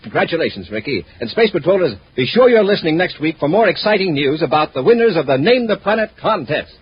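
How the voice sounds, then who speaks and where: An American man playing a steady, authoritative space patrol commander in a 1950s radio adventure, with a crisp commanding manner. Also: fairly high pitch, fast. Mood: proud, announcing. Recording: noisy, muffled vintage radio recording.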